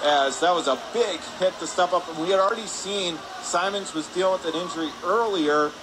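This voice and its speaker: High-pitched male hockey play-by-play announcer, noisy rink. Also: fairly quick. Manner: excitable, voice rising on shots and saves. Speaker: radio play-by-play commentator. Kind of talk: live hockey play-by-play commentary. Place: indoor ice hockey arena. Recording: noisy rink ambience behind the voice.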